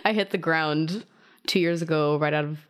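A drag performer from Germany, answering interview questions in a relaxed, thoughtful way. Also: female voice, low-pitched, normal speed. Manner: relaxed, thoughtful, laughs lightly.

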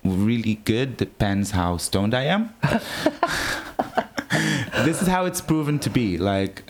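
A man speaks casually as an interview guest, his voice sitting fairly low. He talks in an animated way, laughs easily and often restarts his sentences. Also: slow pace. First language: Hebrew. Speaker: drag performer guest.

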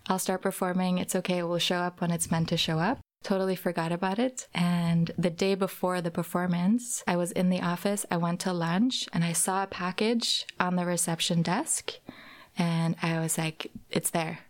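A young woman from Norway, speaking softly and thoughtfully as a guest in a casual interview. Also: fairly low pitch, steady tone. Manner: soft-spoken, thoughtful, with pauses and fillers. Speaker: guest performer.

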